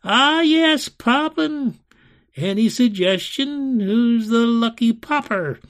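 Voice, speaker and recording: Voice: animated, slow. A storyteller voicing a grandiose, sinister male fire wizard, theatrical and commanding, in a children's fantasy story. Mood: sinister, gloating. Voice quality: booming, shrieking.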